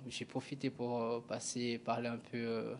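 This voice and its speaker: A young man from Kinshasa, answering interview questions slowly and hesitantly, with frequent filler sounds. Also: mid-range voice, completely flat delivery, background noise. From Kinshasa, DR Congo. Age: young adult. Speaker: guest, graduate student.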